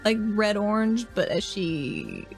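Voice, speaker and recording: A woman at a tabletop game with a medium-pitched voice. She sounds eager and swears casually. Faint music plays behind her. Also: huge pitch swings, takes it slowly, gravelly, poor audio. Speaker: player.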